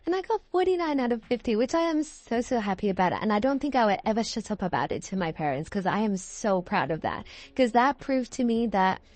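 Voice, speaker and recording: A woman's voice: a little high, lively intonation, a little fast, darkish tone, no echo. Chatty young British woman, dry close sound. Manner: chatty, digressive, self-correcting. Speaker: video creator and host. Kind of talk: casual rambling chat over gameplay.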